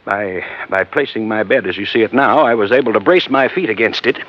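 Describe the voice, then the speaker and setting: An actor playing an agitated, evasive doctor of science in a 1950s radio drama, speaking in a halting, dramatic way. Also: male voice, fairly low pitch, close to an everyday voice. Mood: urgent. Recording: muffled, noisy old radio recording.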